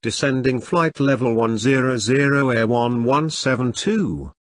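Male voice for pilot phraseology lesson, mid-pitched, unhurried, formulaic delivery. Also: animated, no room sound, studio quality. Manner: measured, formulaic. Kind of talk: scripted radio phraseology readback.

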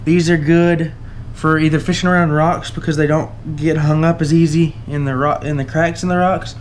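A young man with a Southern US drawl talks through his tackle in a relaxed, halting way, full of uh and um. His voice sits a little high for a man. A faint hiss runs under the camera-mic recording made indoors. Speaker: fishing channel host. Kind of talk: casual tackle giveaway walkthrough. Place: indoors at a table, camera overhead.

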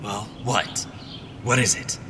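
A man's voice: on the low side, takes it slowly, background noise. An actor playing an anxious, skeptical man in a fantasy audio drama, questioning and agitated in manner. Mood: uneasy, resolved. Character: anxious, skeptical male companion monitoring dream connections.

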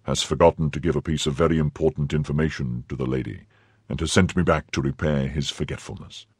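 A man voicing a stern, brooding nobleman who speaks slowly, lowly and menacingly in a quiet, husky voice. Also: fairly even intonation, dry recording, studio quality. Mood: coldly menacing. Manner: slow, low and menacing, quietly bitter. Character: stern brooding male nobleman, cold and grave.

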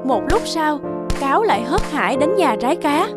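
A woman's voice: high-pitched, sing-song, normal speed, over loud music. A woman storyteller reading scripted fairy-tale narration for children.

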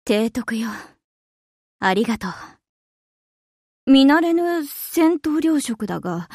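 A formal, sincere anime game heroine with quiet pride. The voice is high and moves widely in pitch, recorded dry and close. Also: female voice, sing-song, slow, no room sound, full character voice. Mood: solemn, grateful. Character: anime warship women, formal, sincere, gently proud. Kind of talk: Japanese anime game character voice lines.

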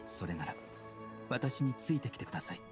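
A calm young male doctor, speaks with measured, formal courtesy and grave concern. It is video game dialogue at a middle pitch, with music playing behind him. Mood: solemn. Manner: polite, measured, formal speech. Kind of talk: Japanese video game voice acting. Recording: studio voice over game music. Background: background music.

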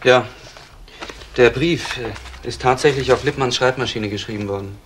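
An actor playing a methodical police assistant in a radio crime drama, reporting in a halting, factual way. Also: male voice, medium pitch, a bit bright, a little hiss or hum, close to an everyday voice. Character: methodical male police assistant reporting findings to his superior.

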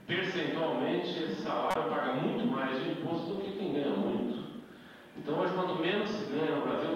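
A man's voice: mid-range voice, slight background noise. A middle-aged man with a Brazilian Portuguese accent giving a lecture on a handheld mic, explaining step by step and tagging rhetorical questions. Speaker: invited speaker. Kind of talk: lecture at a debate event. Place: indoor meeting hall. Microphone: handheld mic, filmed by a far camera. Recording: echoey hall audio from far off.

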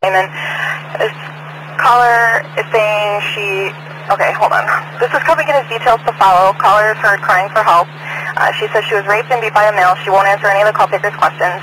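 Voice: medium pitch, steady tone, brisk, noisy background. A police dispatcher relaying calls over a scanner radio in a procedural way, repeating addresses and numbers. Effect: police radio transmission.

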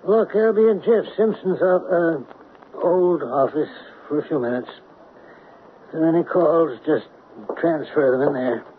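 High-pitched male executive with a guilty secret, flustered and defensive man acting, 1970s radio drama, muffled hissy recording. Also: measured pace, natural voice. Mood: businesslike. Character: male boss of weapons contractor, nervous, evasive traitor.

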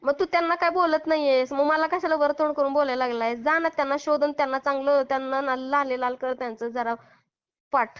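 High-voiced woman, Maharashtrian accent, confrontational, taunting, heated phone argument, dull lo-fi call recording. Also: steady tone, brisk. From Maharashtra, India. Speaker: prank caller who posts call recordings.

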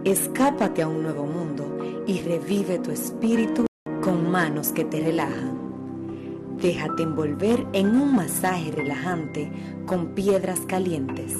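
Slow soothing woman in a spa ad. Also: sits low, over loud music. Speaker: ad announcer. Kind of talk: spa advertisement voice-over.

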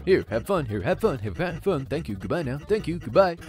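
A man voicing a laid-back skeleton hot dog vendor who delivers puns in a lazy deadpan. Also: a little high, a little fast, soft background music.

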